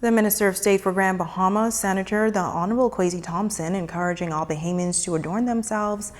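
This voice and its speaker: A woman news anchor reading scripted introductions in a smooth, measured delivery. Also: medium pitch, steady tone.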